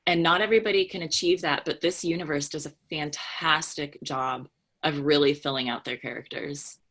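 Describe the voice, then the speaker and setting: Woman, low pitch, podcast panelist, casual book discussion, earnest, emphatic delivery. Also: steady tone, moderate pace, a bit bright.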